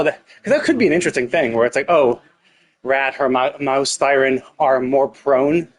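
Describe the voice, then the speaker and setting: A man livestreaming a game, giving casual, rambling commentary as he thinks aloud and swears freely. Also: mid-range voice. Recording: game music playing behind the voice. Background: video game music.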